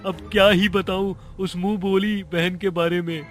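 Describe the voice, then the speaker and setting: A man's voice: sits high, little pitch movement, measured pace, pitched above the performer's own voice. A man voicing a sorrowful, lonely male ghost in a cartoon horror story, speaking in a plaintive, lamenting way. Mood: grieving. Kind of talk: Hindi animated horror story dialogue. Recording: music behind the voice.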